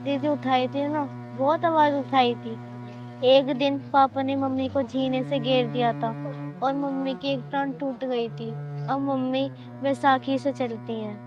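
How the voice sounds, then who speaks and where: A woman's voice: high-pitched, flat delivery, measured pace. A female performer playing a poor, distressed schoolgirl who answers plaintively and respectfully in a short drama film. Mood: sad, pleading. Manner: plaintive, deferential. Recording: dull lo-fi sound under music.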